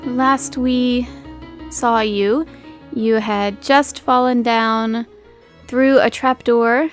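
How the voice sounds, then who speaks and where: A woman's voice: fairly high pitch, fairly even intonation, extremely slow, soft background music. A woman running a tabletop game as game master, casually narrating and teasing her players.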